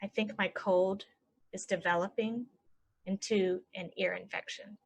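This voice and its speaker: A woman teaching English phrases, saying each one and then repeating it slowly and clearly for learners. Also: mid-range voice, steady tone. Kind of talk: slow phrase-by-phrase language lesson.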